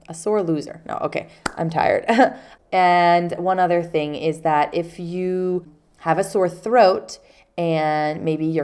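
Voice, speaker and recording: Stuffy-nosed woman teaching slowly. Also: fairly low pitch, creaky, darkish tone. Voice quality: congested, nasal. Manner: patient, explains with spoken examples. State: stuffy nose from a cold. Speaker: English teacher. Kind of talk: casual vocabulary lesson.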